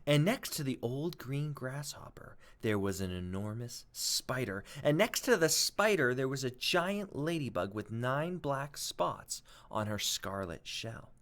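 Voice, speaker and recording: Expressive male teacher with very lively pitch. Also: measured pace, few pauses. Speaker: teacher reading aloud. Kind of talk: expressive storybook read-aloud and lesson.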